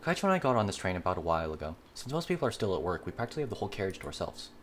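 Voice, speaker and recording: A man reading a visual novel aloud as a player, giving a dramatic, animated narration of the story. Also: low-pitched, rapid-fire, slight background noise. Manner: animated, voicing characters. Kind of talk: dramatic read-aloud of game dialogue.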